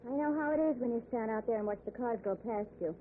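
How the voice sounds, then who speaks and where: A woman's voice: on the high side, fast, close to an everyday voice. Woman as worldly young hitchhiker, tinny old radio. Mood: friendly. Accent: American. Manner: wry, casual, worldly-wise. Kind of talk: 1940s radio drama acting. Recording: tinny, noisy old radio broadcast recording.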